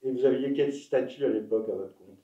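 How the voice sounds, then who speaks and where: A man interviewing an elderly woman, asking brief soft questions with fillers. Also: medium pitch, brisk. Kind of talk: oral history interview. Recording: dull, roomy recording.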